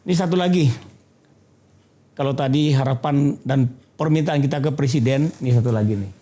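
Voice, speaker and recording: A man's voice: mid-range voice, moderate pace, dark tone, roomy sound. A man hosting a talk show interview, teasing his guests and then making a formal appeal.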